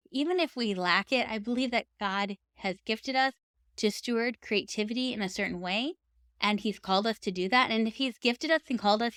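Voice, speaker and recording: A woman's voice: medium pitch, fairly bright tone, smooth, no room sound, studio quality. A woman speaking as a podcast host, giving advice in an earnest, conversational and encouraging way. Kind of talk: encouraging advice talk to camera for writers.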